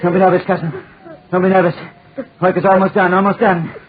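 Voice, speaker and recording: Man playing a frantic high-strung madman, old radio. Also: flat delivery, fast talker, lightly acted. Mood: feverish excitement. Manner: feverish, repeating phrases obsessively. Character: deranged desperate man set on burning his tormentor to death. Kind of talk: 1940s radio acting. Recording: scratchy, tinny 1940s radio recording.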